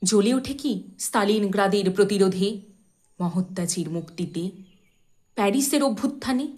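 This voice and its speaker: A woman giving a dramatic poetry recitation in a measured, expressive way, with deliberate pauses and phrase endings that fall softly. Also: medium pitch.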